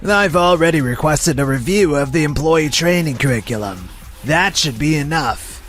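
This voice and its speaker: A man's voice: fairly high pitch, animated, normal speed, fairly bright tone, faint music behind. A man voicing a smug, defensive supermarket executive in a comic dub, with a dismissive manner. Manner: dismissive, self-justifying. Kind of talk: dubbed comic voice acting.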